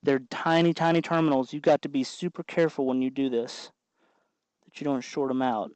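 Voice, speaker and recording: A man with a medium-pitched voice talks through a hands-on task as a tutorial host. His delivery is informal and instructive, as if half talking to himself while he works. The sound is dry, with very little room echo. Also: steady tone, a little fast, a little mellow. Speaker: host of a DIY electronics tutorial.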